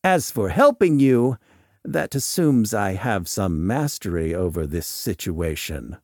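Eccentric shadowy doctor, played by a male reader: formal, coolly mocking, theatrical, highly animated intonation, dry close sound. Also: measured pace, dry recording. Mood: dismissive. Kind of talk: audiobook character dialogue.

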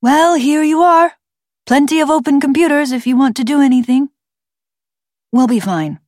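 A woman narrator gives lines to a young male audiobook character who is calm and practical. His delivery is understated and wry. It is recorded dry, with no room sound. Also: high voice, moderate pace, no echo, voice pushed up, lightly acted. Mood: easygoing.

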